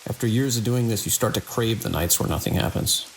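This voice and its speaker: Man acting a deep, gravelly security chief. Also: fairly quick, low-quality recording. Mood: reflective. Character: seasoned, wry security chief on a night watch. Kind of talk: audio drama dialogue and log monologue. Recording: faint hiss and rain-like noise.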